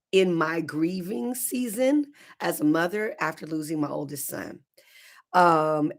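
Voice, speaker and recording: A middle-aged woman with a slightly low, hoarse voice hosts a morning motivational show. She talks to her listeners in an earnest, storytelling way, and her voice sometimes turns emotional. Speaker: minister and show host. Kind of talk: morning motivational livestream talk.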